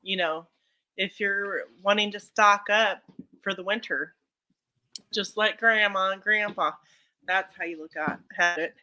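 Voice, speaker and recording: A woman chatting casually on a livestream, talkative and quick to laugh. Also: mid-range voice, fairly even intonation, pushed and bright. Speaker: livestream co-host. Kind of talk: casual chat.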